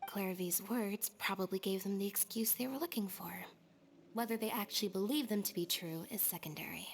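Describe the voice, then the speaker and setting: An actress playing a quiet, composed young woman in a video game, speaking in an even, matter-of-fact way. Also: medium pitch, brisk, a little hiss or hum, natural voice. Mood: flat. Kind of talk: video game character dialogue.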